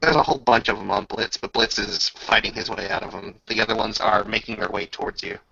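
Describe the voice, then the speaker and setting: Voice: mid-range voice, fairly even intonation, fast, gravelly, sharp tone, no room sound. A game master narrating a tabletop roleplaying session casually, bantering and swearing freely. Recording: dull lo-fi recording.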